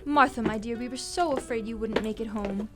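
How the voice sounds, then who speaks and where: A woman's voice: on the high side, a little fast, heavy background noise, close to an everyday voice. An actress playing a loving, anxious mother in a radio play, earnest in manner. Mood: affectionate. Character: anxious, loving working-class mother running a household.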